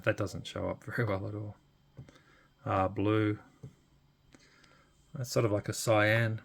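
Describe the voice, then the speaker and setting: A man's voice: lowish voice, creaky. A man giving a casual, unscripted hands-on demonstration, hesitant and thinking aloud as he goes. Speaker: hobbyist demonstrating radio equipment.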